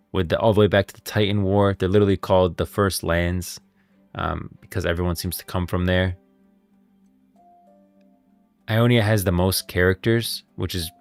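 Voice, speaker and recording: A man streaming casual commentary, rambling and thinking aloud as he goes. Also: sits low. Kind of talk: casual game lore commentary. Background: background music.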